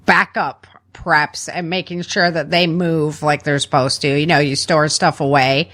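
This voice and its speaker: A woman's voice: low voice, little pitch movement, measured pace, a bit bright. A woman hosting a podcast in casual, chatty conversation from a family room at home, using informal, slangy language. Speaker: podcast host.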